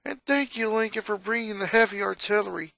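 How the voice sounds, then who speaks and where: A man's voice: sits high, fairly quick, creaky, sharp tone, no room sound, voice pushed up, heavily acted, rough recording. A man voicing a proud, kindly father in a story reading.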